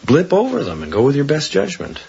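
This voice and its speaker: An actor playing a skeptical, unimpressed psychiatrist with a dismissive, matter-of-fact manner in a radio drama. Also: male voice, fairly high pitch, wildly swooping pitch, fairly quick, creaky, a little mellow, slightly rough, heavily acted. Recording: dull, noisy 1980s radio broadcast.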